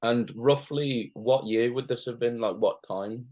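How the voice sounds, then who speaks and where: A man's voice: medium pitch, unhurried, darkish tone. A man hosting a casual interview, asking brief questions and often answering with "mm-hmm". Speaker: interviewer, host.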